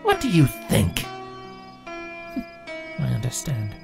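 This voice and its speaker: A man voicing a dutiful female changeling queen in a storybook reading, raised in pitch, measured and formal. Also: wildly swooping pitch, creaky, music in the background, heavily acted. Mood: steady.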